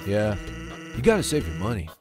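Guy doing a chill jokey skeleton selling hot dogs, deadpan but bouncy. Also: on the low side, fairly quick, faint music behind. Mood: laid-back. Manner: lazy, deadpan punning. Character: laid-back joking skeleton vendor.

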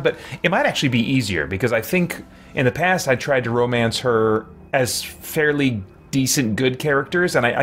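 A man around his forties gives casual game commentary, rambling and thinking aloud as he plays. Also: medium pitch. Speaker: streamer playing a role-playing game. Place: indoor room lined with shelves. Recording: clear background noise.

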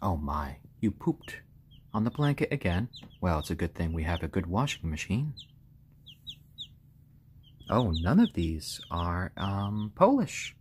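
Slightly hoarse man with very lively pitch. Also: a little slow, long pauses. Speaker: live stream host. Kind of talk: casual chat on a live stream, with cooing to pets. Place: room in a home, indoors. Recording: clear background noise, bird sounds.

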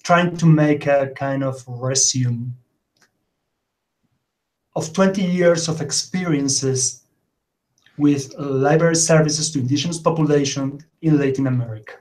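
Guy giving a talk on a video call, slow, lots of uhs, kinda high voice. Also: low-quality recording. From Argentina. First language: Spanish. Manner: hesitant, many fillers, animated. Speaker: librarian giving a conference talk. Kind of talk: remote conference presentation. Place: indoor home room. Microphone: webcam on a video call.

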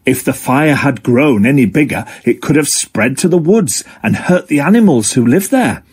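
Man doing an earnest octopus, eager, expressive, swooping pitch, slightly husky, children's storybook. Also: normal speed. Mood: concerned. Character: earnest male octopus, worried, eager aspiring firefighter. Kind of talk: children's storybook character reading.

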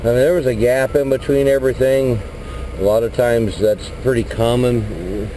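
A man, a truck driver, talking casually and rambling as he walks around his flatbed load outdoors, recorded on a body-worn camera. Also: mid-range voice, moderate pace, a little hiss or hum, rough recording. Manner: rambling, plain-spoken, mild cursing. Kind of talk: casual walkaround vlog. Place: outdoors at a truck lot beside a flatbed trailer. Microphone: body-worn action camera.

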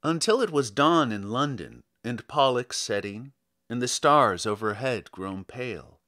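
A man reads a story aloud as a dramatic narrator. His delivery is slow and deliberate, with wide, lively swings of pitch. The voice is bright and forceful, and he lingers on phrases for theatrical weight. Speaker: narrator reading a short story. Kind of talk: dramatic audiobook narration of literary fiction.

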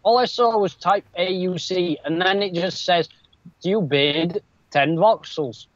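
High-voiced joking gamer guy. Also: unhurried, dry recording, lo-fi sound. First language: not English. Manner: joking, easily flustered. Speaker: gamer on voice chat. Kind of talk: casual chat while playing.

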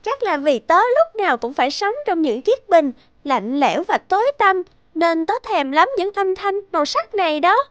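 A woman voicing a tiny cheerful girl fairy in an animated cartoon, childlike, exuberant and a little wistful. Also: very high-pitched, moderate pace, no echo, muffled recording.

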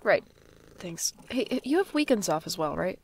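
An actor playing a wry, self-deprecating young adult in an audio drama, speaking and narrating in a dry, deadpan way. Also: mid-range voice, moderate pace, slight background noise.

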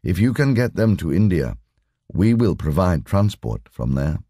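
An imperturbable lama , acted out by a male audiobook reader, speaks with formal patience. His voice is low and measured, recorded dry and close. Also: sing-song, warm tone, no echo, full character voice. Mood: patient, formal.

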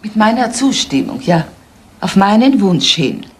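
An actress playing a composed, restrained wife with a husky voice in a crime radio play. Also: female voice, medium pitch, sing-song, measured pace. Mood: composed, grave. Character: composed, frail wife who condones her husband's affairs.

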